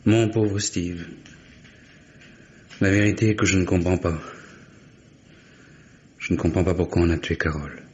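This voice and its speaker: An actor playing a resigned, bewildered young murder suspect, halting and subdued, in 1950s French radio drama style. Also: male voice, low-pitched, darkish tone. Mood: bewildered, despairing. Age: late 20s. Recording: dull, noisy vintage radio recording.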